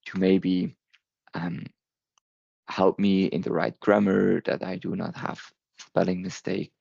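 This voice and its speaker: A man's voice: low voice, gravelly, darkish tone, no echo, dull audio. A man in his thirties with a German accent, giving a recorded talk, earnest and sometimes stumbling over words. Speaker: presenter.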